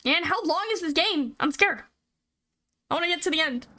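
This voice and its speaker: A woman streaming a game, reading the story aloud and breaking in with excitable reactions. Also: very high-pitched, moderate pace, a little hiss or hum. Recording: loud music behind the voice. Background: loud game music.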